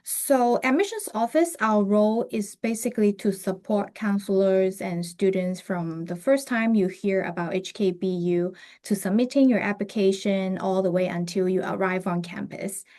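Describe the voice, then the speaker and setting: A woman's voice: medium pitch, moderate pace, few pauses. Woman hosting a university webinar. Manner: friendly, relaxed with fillers. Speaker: host from the admissions office.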